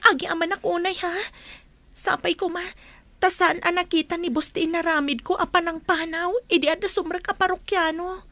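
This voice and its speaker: Woman as a very high, plaintive anxious waitress. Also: steady tone, a little fast, sharp tone, no room sound. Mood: anxious, apologetic. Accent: Filipino, Ilocano. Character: anxious, beleaguered young waitress afraid of trouble. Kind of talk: Ilocano audio drama acting.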